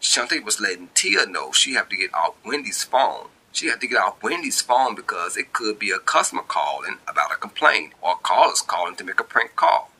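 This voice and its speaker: Bright-voiced man reading a story, lively pitch. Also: moderate pace, hardly any pauses, breathy edge, rough recording. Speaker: amateur author reading own story. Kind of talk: scripted story narration.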